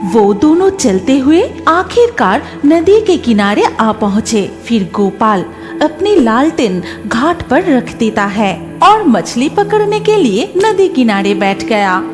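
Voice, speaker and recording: A woman's voice: fairly high pitch, very animated, slow, music in the background. A woman narrating a scripted horror cartoon story.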